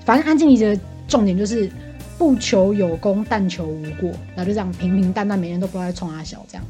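A Taiwanese woman talks at a fast clip in a casual, animated storytelling style. She sounds talkative and exasperated, as if venting to a friend. Music plays behind her voice. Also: mid-range voice. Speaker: podcast co-host venting as a bar customer.

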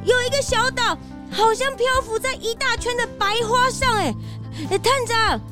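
A woman playing an excitable, childlike young detective's sidekick in a children's audio drama, eager and full of cute exclamations. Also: extremely high pitch, moderate pace, music in the background. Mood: amazed.

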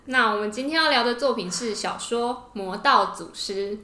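A woman's voice: a little high, normal speed, bright, forceful tone. A woman with a Taiwanese Mandarin accent co-hosting a podcast in casual, gushing fangirl chat. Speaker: podcast co-host. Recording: roomy indoor echo.

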